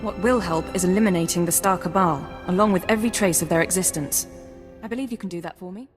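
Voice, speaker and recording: A woman's voice: lowish voice, fast, music in the background, lightly acted. Woman as a precise intelligence handler, reverberant. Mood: controlled, determined. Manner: businesslike, precise, controlled. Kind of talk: video game drama acting.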